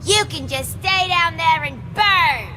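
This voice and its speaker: A woman's voice: very high voice, animated, slow, noisy background, heavily acted. A woman voicing a manic, menacing, taunting villainess henchwoman in a video game, with theatrical delivery. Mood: furious, taunting.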